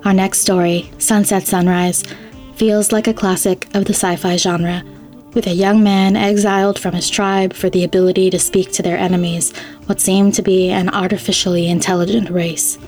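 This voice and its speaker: A woman's voice: mid-range voice, flat delivery, normal speed, darkish tone, faint music behind. A woman hosting a podcast, reading a scripted introduction to an audio fiction story. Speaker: podcast host.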